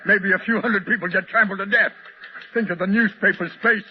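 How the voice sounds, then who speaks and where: An actor playing a scornful, angry American city official in an old radio drama, sarcastic and brusque. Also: male voice, high voice, fairly even intonation, a little fast, natural voice. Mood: scornful anger. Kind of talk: 1950s radio drama acting. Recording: muffled, hissy old radio recording.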